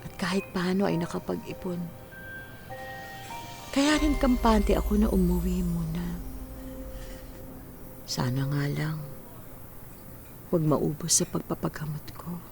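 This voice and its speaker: A woman's voice: on the low side, unhurried, creaky, pauses often, over music. A woman acting in a Filipino radio drama as an earnest, wistful widowed mother in her forties, weary after working overseas. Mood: hopeful, worried.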